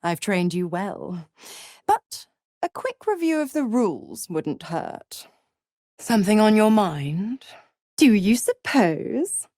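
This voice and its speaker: A woman's voice: mid-range voice, very animated, takes it slowly, a little mellow, no echo, clean studio sound. A woman voicing a sly, seductive half-devil schemer in a video game, purring, teasing and condescending with theatrical flair. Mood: smug, teasing.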